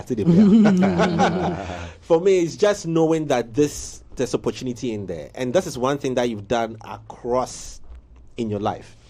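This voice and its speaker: A man hosts a morning show from a TV studio, telling a story with energy and laughter. His voice sits at a middle pitch. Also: dark tone. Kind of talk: casual studio interview.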